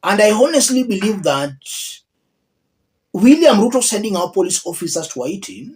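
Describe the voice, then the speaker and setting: A man with a Kenyan accent giving political commentary to viewers, emphatic and deliberate, repeating key phrases for weight. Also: high-pitched, sing-song, a little mellow, low-quality recording. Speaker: political commentator, channel host.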